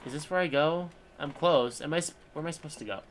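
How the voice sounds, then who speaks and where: A man's voice: on the high side, fast talker, a little hiss or hum. A man live streaming a game with casual, wry and sarcastic commentary.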